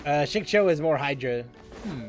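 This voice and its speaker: Lively high-voiced male game streamer. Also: normal speed, soft background music. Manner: thinks aloud while playing and answers chat questions. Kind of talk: live gameplay commentary.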